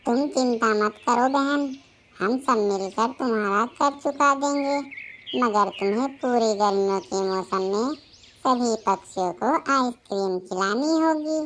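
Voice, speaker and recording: High-voiced, friendly neighbour bird played by a woman. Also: unhurried, fairly bright tone, slight background noise. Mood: reassuring. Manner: friendly, reassuring. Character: friendly neighbour bird customer, supportive and cheerful. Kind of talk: Hindi children's cartoon voice acting.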